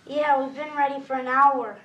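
A woman's voice: high-pitched, fairly even intonation, normal speed, highs cut off, close to an everyday voice. A woman playing an impatient child waiting on a writer father, in film dialogue. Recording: distant, echoey, noisy film clip.

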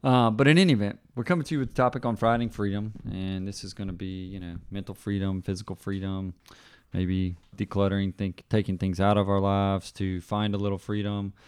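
A man's voice: deep voice, fairly even intonation, brisk, smooth. A man hosting a podcast, easygoing and steering the conversation. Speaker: podcast host. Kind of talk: casual chat.

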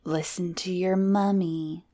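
A woman's voice: on the low side, steady tone, takes it slowly, mellow. Woman doing a sly, teasing man. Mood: mocking. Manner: mocking, teasing, mock-sinister. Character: teasing, sly man, mocking adult lover of mother.

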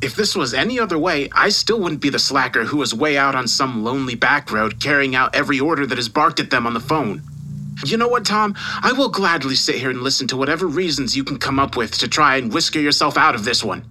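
A man's voice: medium pitch, normal speed, a little hiss or hum, natural voice. A man playing a furious, condescending newspaper boss who berates his employee in a dramatised audio story. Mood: angry, domineering. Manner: condescending, mocking, forceful.

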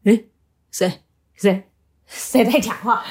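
A woman's voice: mid-range voice, takes it slowly, darkish tone, breathy edge. Woman voicing a whiny, grumpy storybook tiger. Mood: puzzled. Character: grumpy, easily angered but lonely tiger wanting friends.

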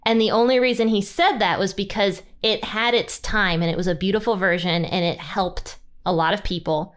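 A woman hosting a podcast, chatting in a relaxed, casual way and laughing easily. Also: moderate pace. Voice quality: low singing voice. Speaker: podcast host. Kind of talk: casual conversational interview.

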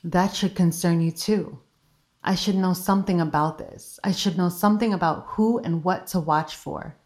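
A woman's voice: fairly low pitch, normal speed, a little mellow, natural voice. A woman playing an insistent, scheming girlfriend who presses for secrets in an audio drama. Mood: wounded, coaxing.

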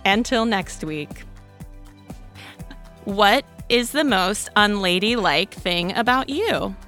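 A woman's voice: mid-range voice, lively intonation, slow, fairly bright tone, soft background music. A woman hosting a podcast, chatting in an upbeat way and speaking straight to her listeners. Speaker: podcast host. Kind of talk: casual podcast outro.

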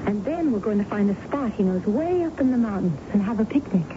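A woman playing an earnest, uneasy, inquisitive young lady in a radio drama, enunciating clearly. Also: mid-range voice, expressive, brisk, noisy background, lightly acted. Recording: muffled old radio broadcast with music.

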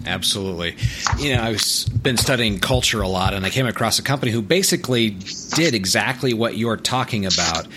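A man co-hosting a podcast, speaking in a thoughtful, measured way with frequent "you know.". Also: on the low side, animated, pushed and bright, a little hiss or hum. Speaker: podcast co-host. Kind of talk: conversational podcast chat.

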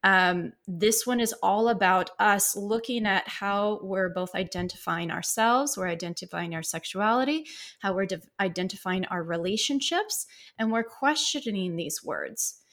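A woman's voice: medium pitch, a little slow, a bit bright. A woman psychotherapist, a podcast guest, answering at length in an easygoing way, with frequent fillers and light laughs. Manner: expansive, laughs easily, many fillers.

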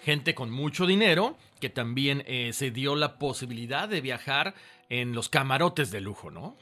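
Lively bright-voiced man. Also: medium pitch, moderate pace. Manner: animated, expressive reactions. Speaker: podcast co-host. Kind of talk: casual chat.

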